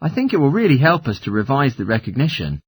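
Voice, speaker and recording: Man acting an agreeable husky-voiced male student. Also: medium pitch, big pitch swings, fast, no room sound. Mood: agreeable, reasoning. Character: male geography student, agreeable, a little nervous. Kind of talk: scripted study dialogue for a listening test.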